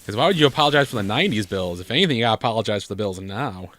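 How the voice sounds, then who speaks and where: A man's voice: lowish voice, lively intonation, racing, fairly bright tone, no echo. A man with a bad cold giving casual, joking game commentary with dry sarcasm as a co-host. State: has a bad cold, coughing. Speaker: gaming video co-host. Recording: noisy room, game sounds behind.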